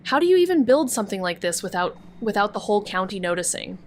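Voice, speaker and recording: A woman's voice: medium pitch, big pitch swings, fast talker, a little hiss or hum, natural voice. A woman voicing a wry young amateur sleuth who narrates a noir audio drama with dry, sardonic remarks. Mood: uneasy. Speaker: narrator and investigator.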